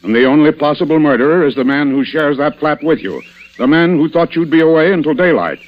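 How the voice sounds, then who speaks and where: A man playing a shrewd, dry, commanding police inspector in old-time radio mystery style, deliberate and sardonic in his questioning. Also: fairly high pitch, lively intonation, lightly acted. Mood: forceful, accusing. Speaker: radio actor. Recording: muffled, hissy old radio recording.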